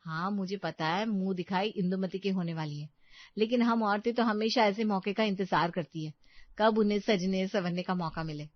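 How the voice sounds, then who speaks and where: Shy modest young wife in a cartoon, lower and quiet woman voicing her, dull dry sound. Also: moderate pace, dry recording, natural voice. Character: shy elder sister-in-law, young wife.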